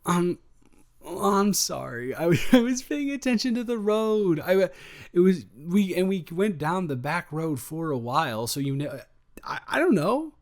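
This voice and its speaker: A man playing an excited, affectionate boyfriend on vacation in an improvised roleplay audio, rambling and stammering playfully. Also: high voice, measured pace, full character voice. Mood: playful, bantering.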